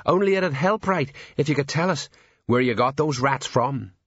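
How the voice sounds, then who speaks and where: A man's voice: mid-range voice, expressive, moderate pace, fairly bright tone, slightly rough, no room sound, dull audio, voice pushed up. A man voicing a cautious, placating police sergeant in an audiobook, hesitant and colloquial in his speech. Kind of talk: character voice in audiobook narration.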